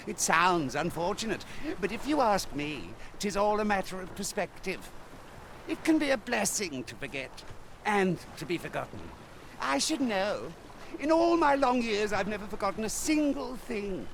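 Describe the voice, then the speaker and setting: An actor playing a whimsical old storyteller in a video game, musing theatrically in archaic phrasing. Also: male voice, sits high, very animated, moderate pace, gravelly, fairly bright tone, slight background noise, exaggerated voice. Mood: musing, wistful. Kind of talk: video game character dialogue.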